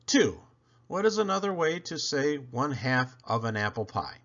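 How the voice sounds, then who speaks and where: Animated man reading a children's story aloud. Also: mid-range voice, moderate pace, muffled recording. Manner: theatrical, exaggerated emphasis on questions and lists.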